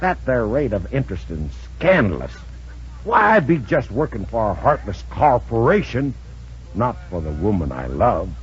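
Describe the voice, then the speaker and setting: A man's voice: mid-range voice, sing-song, husky. An American actor playing a bumbling, wheedling sea captain in a radio comedy, stammering and gushing at his sweetheart. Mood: feigned woe. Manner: stammering, drawn-out hesitations. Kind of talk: 1940s radio comedy acting. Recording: muffled old radio broadcast recording.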